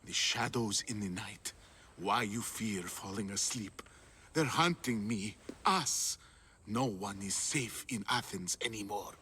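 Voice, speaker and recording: A man's voice: lowish voice, slow pace, sharp tone, husky, heavily acted. An actor playing a fearful male artist on the run in a video game, agitated and earnest. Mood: nervous. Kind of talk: video game cutscene acting.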